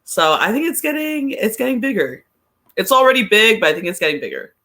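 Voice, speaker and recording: A woman with a bright, forceful voice speaks as a podcast guest. She is chatty and informal, peppering her sports talk with "like". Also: fairly high pitch, sing-song, brisk. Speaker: podcast guest, soccer fan. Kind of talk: casual sports chat.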